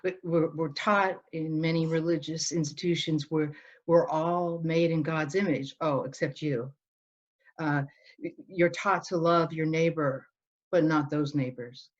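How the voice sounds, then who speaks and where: A woman's voice: low-pitched, steady tone, unhurried. A middle-aged woman from Northern Ireland, telling her story earnestly as an interview guest. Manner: earnest, storytelling, many restarts. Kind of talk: conversational interview. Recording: dull, lo-fi.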